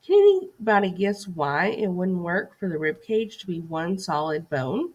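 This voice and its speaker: A woman's voice: lowish voice, very animated, measured pace, darkish tone, highs cut off. A woman teacher reading a scripted lesson aloud for young children in an instructive way, putting questions to her listeners.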